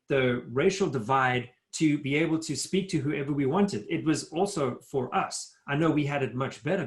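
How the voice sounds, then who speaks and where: A middle-aged man from Africa, giving an earnest, emphatic motivational talk as a guest speaker over a video call. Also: medium pitch. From South Africa. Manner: earnest, emphatic, builds points in lists. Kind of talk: motivational talk at a virtual town hall.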